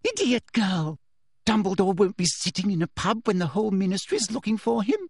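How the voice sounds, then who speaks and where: Man voicing a breathy, high-pitched sinister woman official. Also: very animated, normal speed, dry recording, studio quality, heavily acted. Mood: eager, impatient. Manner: smug, simpering, menacing. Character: sinister middle-aged woman official, gleeful, breathy, menacing.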